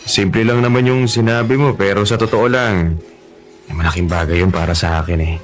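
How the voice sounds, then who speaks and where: An actor with a Filipino accent playing a shy, flustered single dad in a radio drama, hesitant and apologetic. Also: male voice, on the low side, a little fast, soft background music, natural voice. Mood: shy, earnest. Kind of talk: Tagalog radio drama acting.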